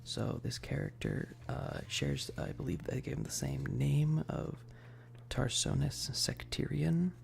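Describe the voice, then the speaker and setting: Gravelly, unhurried man in ASMR chat. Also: medium pitch, big pitch swings, darkish tone, a little hiss or hum. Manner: hesitant, rambling, frequent pauses; often drops into breathy, whispery speech. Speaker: ASMR streamer. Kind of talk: soft casual ASMR livestream chat.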